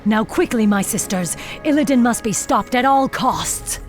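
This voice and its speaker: A woman's voice: on the high side, animated, normal speed, lightly acted. A woman playing a stern, resolute night elf warden in a fantasy game, grave, formal and commanding. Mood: urgent, commanding. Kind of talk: fantasy video game voice acting. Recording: faint music under the voice.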